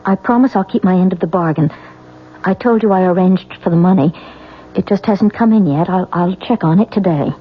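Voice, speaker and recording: An actress playing an anxious, desperate wife in a radio drama, with a halting, pleading delivery. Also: female voice, lowish voice, breathy edge. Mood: nervous. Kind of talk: 1970s radio drama acting. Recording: muffled, noisy old radio broadcast.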